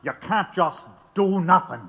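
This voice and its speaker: A man's voice: high voice, animated, a little slow, gravelly, a bit bright, full character voice. A man playing a hard, urgent police commander in an audio drama, insistent and clipped. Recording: long echoing hall reverb.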